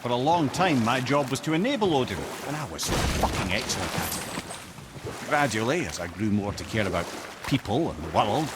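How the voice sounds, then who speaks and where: A man's voice: medium pitch, big pitch swings, moderate pace, heavy background noise, lightly acted. An actor playing a witty, wry old Scottish counselor in a video game, eloquent and theatrical in his storytelling. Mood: reflective, proud. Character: witty, wry old counselor, a well-travelled talking head. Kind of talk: video game character dialogue.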